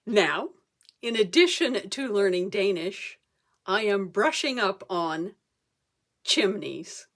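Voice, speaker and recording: A woman performing a comic story as a speech, theatrical, with dramatic pauses and emphasis. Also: medium pitch, lively intonation, fairly bright tone. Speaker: club speaker telling a humorous tall tale.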